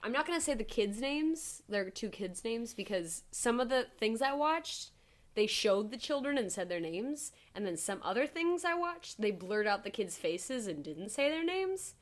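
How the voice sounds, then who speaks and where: A young woman with a high voice, co-hosting a podcast and telling a true crime case in a loose, casual way, full of "like" and easy swearing. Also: sing-song, moderate pace. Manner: chatty, heavy with "like", swears casually. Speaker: podcast co-host.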